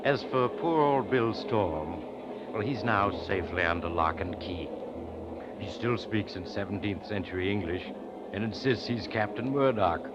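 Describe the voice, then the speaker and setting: A man plays a mysterious traveler hosting radio horror tales. His voice is lowish, theatrical and insinuating, with wry menace. It is a muffled vintage recording with heavy train noise. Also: lively intonation, moderate pace, close to an everyday voice. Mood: sly, macabre. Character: eerie, sly mysterious traveler telling macabre tales. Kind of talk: 1940s radio horror host narration. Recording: dull, very noisy radio, train rumble behind.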